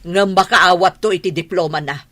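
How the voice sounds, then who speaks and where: A woman's voice: on the low side, moderate pace, fairly bright tone. An actress with an Ilocano accent playing an exasperated, scolding mother worried about money in a radio drama. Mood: nagging.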